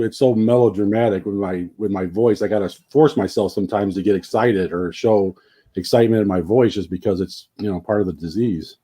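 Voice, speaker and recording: A man in his late forties speaks in a fairly low voice in a casual group chat. His tone is dark and mellow, flat and plain-spoken. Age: 40s. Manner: flat, plain-spoken, says "freaking" a lot.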